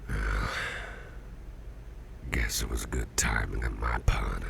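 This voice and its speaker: An extremely low, heavily crackling voice of a sickly boss, acted by a man in an ASMR roleplay. He half-whispers slowly and tenderly, grateful and a little self-deprecating, with folksy warmth in his wording. There is clear noise behind him. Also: steady tone, full character voice. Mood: soft, caring. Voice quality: gravelly, breathy near-whisper. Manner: slow, hesitant, folksy and tender. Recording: noticeable background noise, soft ambience.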